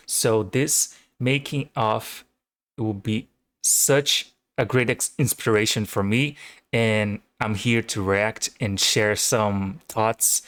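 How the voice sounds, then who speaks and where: A young Brazilian man with a Portuguese accent chats at a slow, deliberate pace, eager and full of fillers as he hosts his own channel. His voice sits in a middle register. The recording is dry and close, with no room sound. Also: mid-range voice, no room sound. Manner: enthusiastic, rambling with fillers. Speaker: channel host. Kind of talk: casual reaction video chat. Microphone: home microphone.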